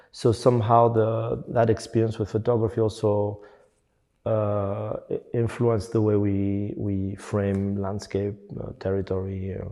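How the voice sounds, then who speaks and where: Hesitant middle-aged man, dark voice. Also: fairly low pitch. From Belgium. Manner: hesitant, many fillers and restarts. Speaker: architect and teacher. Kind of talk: reflective interview answer.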